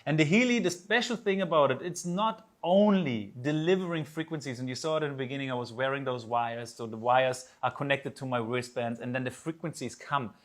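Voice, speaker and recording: A man's voice: medium pitch, a little fast, few pauses. Persuasive man with a German accent. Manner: earnest, persuasive, slightly non-native phrasing. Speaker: presenter. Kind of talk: sales presentation.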